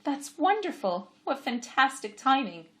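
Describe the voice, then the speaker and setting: Woman playing a kind young woman, gracious, bright and higher. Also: sing-song, measured pace, full character voice.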